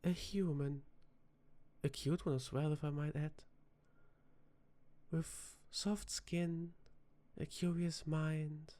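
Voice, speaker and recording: A performer voicing a flirty, teasing vampire tavern bartender in a soft-spoken ASMR roleplay, with dramatic pauses. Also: medium pitch, little pitch movement, close to an everyday voice. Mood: playful, coaxing.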